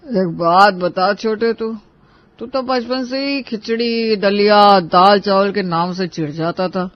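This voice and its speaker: Man acting high-voiced put-upon elder brother. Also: slow pace, no room sound, dull audio. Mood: probing. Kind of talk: dramatic Hindi cartoon dialogue.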